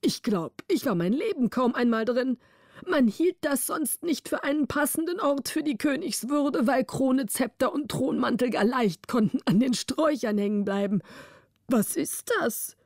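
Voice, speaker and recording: A woman voicing a cheerful, soft-hearted old king in a storybook, exclaiming with naive wonder and pompous certainty. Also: extremely high pitch, expressive, normal speed, no echo, clean studio sound, pitched above the performer's own voice. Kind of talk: storybook character dialogue.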